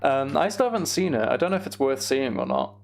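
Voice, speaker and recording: Creaky-voiced young man chatting on stream. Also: medium pitch, huge pitch swings, fast talker, a little hiss or hum. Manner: meandering, thinking aloud to himself. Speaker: live game streamer. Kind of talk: casual gameplay commentary, chatting with viewers.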